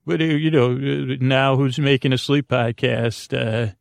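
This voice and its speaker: A man with a creaky voice hosting a sleep podcast in a rambling, halting comedic monologue, droll and self-deprecating, drifting off on tangents. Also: mid-range voice, steady tone, no room sound. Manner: meandering, halting, frequent self-interruption and tangents. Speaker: podcast host.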